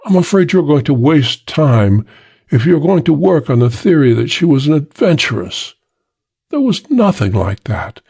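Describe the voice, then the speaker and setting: A man's voice: on the high side, very animated, moderate pace, vocal fry, a little mellow, breathy edge, voice pushed up, heavily acted. A man voicing an earnest, overwrought young poet in love, pleading emotionally, in an audiobook. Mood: anguished, insistent. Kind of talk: audiobook character acting.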